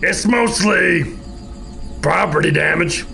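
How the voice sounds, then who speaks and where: An actor playing a big, brash, blunt soldier in an audio drama. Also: male voice, on the high side, slow, creaky, over music, full character voice. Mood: gruff.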